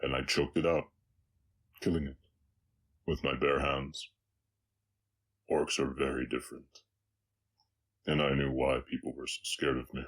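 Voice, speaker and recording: A man voicing a brooding, remorseful orc cowboy outlaw with a slight Southern US accent, speaking haltingly and somberly in an intimate confessional roleplay. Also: rumbling low, level tone, husky, exaggerated voice. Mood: grave recollection. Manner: halting, somber, long pauses. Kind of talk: intimate scripted roleplay audio, confessional storytelling.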